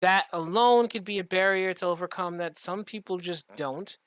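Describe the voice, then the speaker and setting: A man's voice: high voice, normal speed, a bit bright, clear, resonant tone, no echo. A man talking casually as a podcast co-host, rambling and candid, swearing freely. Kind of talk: casual chat.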